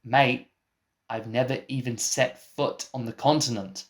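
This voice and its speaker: An exasperated male interviewer, acted by a man in a comic radio play. He speaks slowly and questions everything with dry disbelief, like someone trying to get sense out of a nonsensical guest. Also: mid-range voice, steady tone, close to an everyday voice. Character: exasperated, baffled male interviewer and film producer. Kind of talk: scripted comic mock interview.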